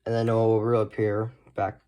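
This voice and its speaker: A man's voice: lowish voice, completely flat delivery, moderate pace, mellow, a little hiss or hum. A man giving a casual, unscripted show-and-tell, rambling and often trailing off into short asides. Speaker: hobbyist video host.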